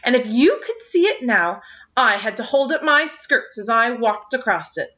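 A woman's voice: on the high side, sing-song, normal speed, bright, forceful tone, voice pushed up. A woman voicing an indignant, gossiping older village woman, heard on a slightly dull lo-fi recording.

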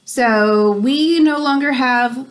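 An American woman in her thirties reading a children's nonfiction book aloud at home, pausing to explain things simply like a teacher. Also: high voice. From United States. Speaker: read-aloud host for children. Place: small room at home, indoors.

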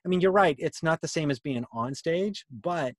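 A man's voice: fairly high pitch, animated, normal speed, no room sound, clean studio sound. A middle-aged man presenting informally on a video call in an encouraging, conversational way. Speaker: workshop presenter. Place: indoor room on a video call. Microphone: computer mic on a video call.

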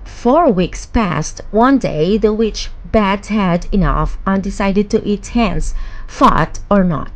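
A woman narrating a children's bedtime fairy tale in a measured, expressive storytelling manner. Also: mid-range voice, rough recording.

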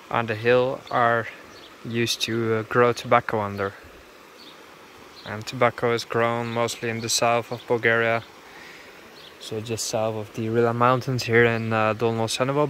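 A man narrating a casual travel vlog, explaining as he goes with many pauses, filmed outdoors on a handheld camera. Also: medium pitch, a bit bright, a little hiss or hum. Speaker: travel vlogger. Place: outdoors on village streets and in a parked car.

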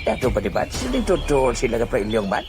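A man's voice: medium pitch, wildly swooping pitch, fast, over loud music. A man playing a puzzled old villager in a comic audio drama, thinking aloud in exclamations. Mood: puzzled, resolving. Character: puzzled old village man Miloy, weighing two lookalikes.

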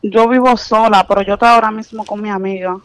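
A woman's voice: mid-range voice, steady tone, quick pace, no echo. A nervous, distressed young woman on a phone call, protesting in a pleading, repetitive way. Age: young adult. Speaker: prank call target. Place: on the other end of a phone call. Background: music.

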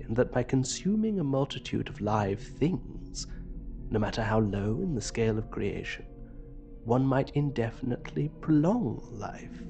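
Asylum inmate, scholarly, pedantic, eerily polite, audio drama, music underneath. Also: male voice, lowish voice, vocal fry. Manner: ornate, pedantic, precisely phrased. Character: courteous, scholarly male asylum patient with unsettling obsessions.

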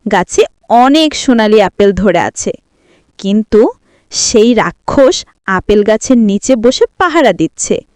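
A woman's voice: a little high, darkish tone, no room sound, clean studio sound. A woman, narrating a scripted fairy tale for children as a storyteller.

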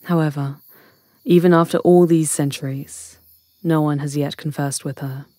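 A woman voicing an ancient, wry and wise witch in a fantasy ASMR roleplay, with measured, intimate, theatrical delivery. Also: low voice, fairly even intonation, dark tone, dry recording, clean studio sound, close to an everyday voice. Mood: hushed, mysterious.

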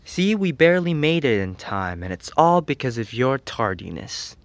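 A man's voice: mid-range voice, very animated, normal speed, no echo. A young man playing a grumpy, teasing tsundere teenage best friend in an ASMR roleplay, scolding in an exasperated, whiny way.

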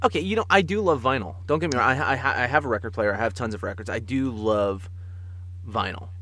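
A man co-hosting a podcast in casual comic chat, telling stories in an animated way and chuckling as he talks. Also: medium pitch, fairly quick, dry recording. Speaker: podcast co-host.

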